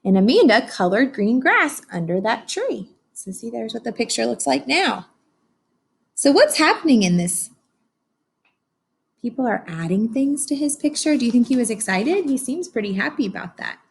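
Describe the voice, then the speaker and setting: A woman reading a picture book aloud to young children as a teacher does, pausing to explain and ask simple, encouraging questions. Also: on the high side, very animated, creaky, husky.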